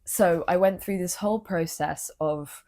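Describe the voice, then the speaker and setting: A young woman, vlogging earnestly into her phone with a low-energy voice, confiding and emotional. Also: a little slow, warm tone. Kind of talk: personal vlog talking to camera.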